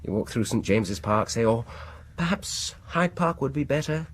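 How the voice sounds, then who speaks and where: An actor playing a probing, falsely polite British dentist in a radio horror drama, with a husky voice and an insinuating, deliberate manner. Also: male voice, medium pitch, expressive. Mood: slyly needling. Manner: deliberate, insinuating, falsely soothing, chuckling at his own remarks.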